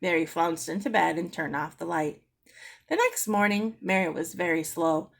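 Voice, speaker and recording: A woman reading a children's book aloud as an expressive storyteller. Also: on the low side, moderate pace, vocal fry. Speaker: storybook reader.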